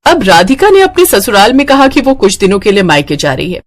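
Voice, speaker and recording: A woman's voice: medium pitch, sing-song, a little fast. A woman storyteller reading scripted narration for a moral tale.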